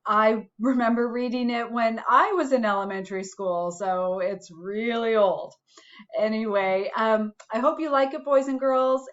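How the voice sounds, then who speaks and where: A woman reads a children's storybook aloud in a fairly high voice. She takes her time, speaking at a very slow pace. The recording is a little dull and lo-fi. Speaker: school principal reading to pupils.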